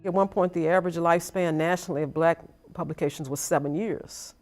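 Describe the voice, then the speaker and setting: Older woman explaining over clear music. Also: lowish voice, fairly quick, a little mellow. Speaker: retired journalism professor, interviewee. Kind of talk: explanatory interview talk.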